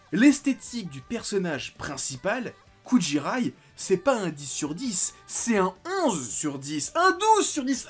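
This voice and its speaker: A man in his late twenties reviewing a manga in a casual video, talking animatedly and breaking into excited exclamations. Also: sits high, slightly rough. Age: 20s.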